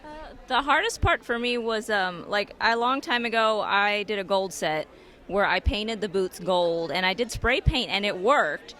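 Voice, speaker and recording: Woman cosplayer at a con, average pitch, kinda hesitant, lots of um and like. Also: expressive, measured pace, fairly bright tone. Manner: easygoing, hesitant with fillers. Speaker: cosplayer guest. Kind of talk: casual interview answers. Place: at a fan convention.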